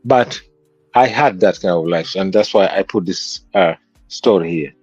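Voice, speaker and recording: A man's voice: sits low, unhurried, darkish tone, slightly rough, dry recording, muffled recording, faint music behind. A man with a Kenyan accent, a guest musician telling stories from his childhood in an interview. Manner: reflective, storytelling. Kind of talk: interview conversation.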